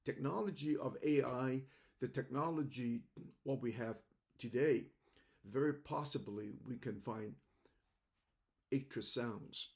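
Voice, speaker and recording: Older man, slow and gravelly. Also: mid-range voice, a little mellow. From Macau. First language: Cantonese. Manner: halting, repeats words, gestures with hands. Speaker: host. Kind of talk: reflective monologue. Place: home room indoors.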